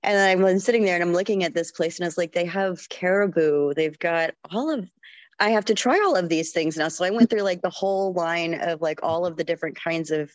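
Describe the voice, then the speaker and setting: A Texan woman co-hosting a podcast, telling stories in an animated way full of "like." Her voice sits lowish and sounds close and dry. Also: brisk, no room sound, highs cut off. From Texas. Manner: animated, many "like" fillers. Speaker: podcast co-host. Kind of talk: casual storytelling.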